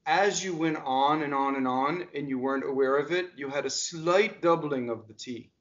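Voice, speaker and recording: A talkative American man in his fifties explains opera diction over a video call from a home music room. His voice sits a little high for a man and comes through slightly dull and lo-fi. He questions his partner pointedly and talks with plenty of energy. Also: normal speed. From United States. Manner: energetic, gestures a lot, asks pointed questions. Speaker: diction coach. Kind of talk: casual video call discussion.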